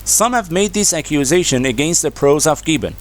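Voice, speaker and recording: A man reading academic practice passages aloud in a measured, formal, scripted way. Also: a little high, animated. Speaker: narrator of exam practice readings.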